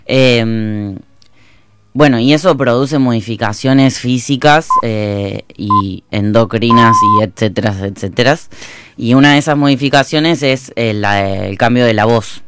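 A man answering interview questions thoughtfully, with many pauses and fillers. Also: medium pitch, heavy background noise. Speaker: guest.

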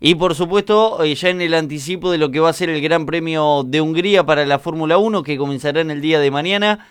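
Man, Argentine accent, smooth clear voice, slightly high pitch, radio sports rundown, dry studio. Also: a little fast, no room sound. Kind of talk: live sports news rundown.

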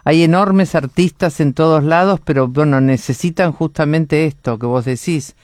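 A man's voice: fairly high pitch, normal speed, a little mellow, no room sound, studio quality. A man hosting an interview, giving frequent encouraging backchannels. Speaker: interview host. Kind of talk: friendly interview.

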